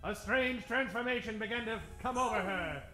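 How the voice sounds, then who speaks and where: A man's voice: sits high, normal speed, sharp tone. A man narrating a comic old-time radio serial in a dramatic, tongue-in-cheek way. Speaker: narrator, adventure host. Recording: echoey stage, music behind.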